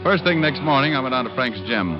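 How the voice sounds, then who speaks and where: A man's voice: medium pitch, expressive, fast, lightly acted. An American man playing a wisecracking, skeptical private detective in old-time radio drama, with a wry, sardonic delivery. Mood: wry narration. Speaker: lead actor and first-person narrator. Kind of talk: 1940s-50s radio detective acting, dialogue and narration. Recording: dull old radio recording with music.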